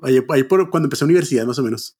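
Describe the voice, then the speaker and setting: Male co-host, Mexican, husky mid-range voice, quick casual chatter with repeated phrases. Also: medium pitch, darkish tone. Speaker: podcast co-host. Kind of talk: casual chat.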